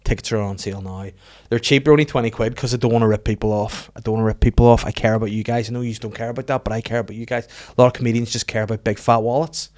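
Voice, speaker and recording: A man's voice: medium pitch, steady tone, very fast, darkish tone. A man with a Northern Irish accent, a comedian chatting on a podcast in a rambling, profane, joking way. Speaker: comedian guest. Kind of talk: casual podcast chat.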